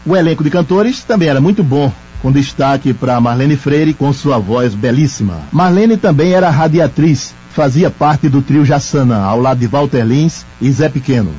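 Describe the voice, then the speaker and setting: Mellow-voiced man recalling the past over music. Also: medium pitch, lively intonation, normal speed, breathy edge. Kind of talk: recollecting narration. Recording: slightly dull radio sound, music behind. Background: background music.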